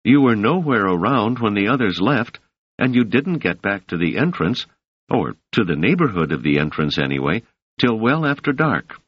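A man's voice: sing-song, normal speed, breathy edge, no echo. A man voicing an earnest, protective woman. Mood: insistent. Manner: higher, softened voice for a woman. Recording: dull, dry, lo-fi sound.

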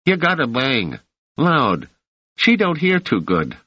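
A man's voice: medium pitch, huge pitch swings, slow, breathy edge, no echo, lo-fi sound, voice pushed up, exaggerated voice. A man voicing a scrappy, streetwise teenage boy who talks in careless slang. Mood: offhand, cocky. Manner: slangy street grammar, offhand.

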